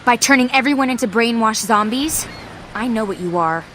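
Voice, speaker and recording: Defiant young mutant heroine, urgent, fairly high voice, faint noise. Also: female voice, expressive, moderate pace, fairly bright tone. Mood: accusing. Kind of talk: video game cutscene acting.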